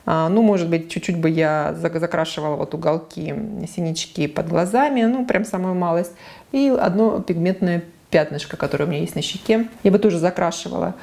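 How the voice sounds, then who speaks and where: Frank chatty woman, dark mellow voice. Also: fairly low pitch, a little fast, creaky. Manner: frank, chatty, many fillers and self-corrections. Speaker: beauty vlogger. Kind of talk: casual makeup talk to camera. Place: small living room, indoors. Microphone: lapel.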